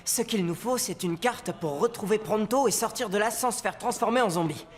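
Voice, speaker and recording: An actor plays a plucky teenage boy, the eager leader of a cartoon adventure. The voice is mid-range and decisive, reasoning aloud earnestly with clear music behind. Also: mid-range voice, fairly quick, close to an everyday voice. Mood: thoughtful planning. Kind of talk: cartoon character voice acting.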